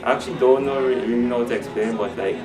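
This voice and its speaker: A man's voice: medium pitch, racing. A young man from Guyana, a student answering interview questions in a loose, rambling way and laughing often. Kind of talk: casual street interview. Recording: echoey, distant, music over it.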